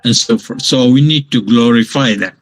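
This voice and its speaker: An older man from Bangladesh, a professor giving a lecture from his home over a video call, pausing often with fillers and restarts. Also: mid-range voice. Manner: slow, halting delivery with many fillers and restarts. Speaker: guest lecturer.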